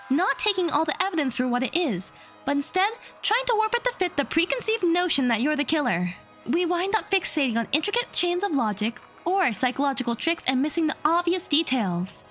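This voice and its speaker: A woman's voice: sits high, fairly bright tone. A woman playing an earnest young student in an audio drama, reasoning insistently and emphatically. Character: earnest young female student defending the accused.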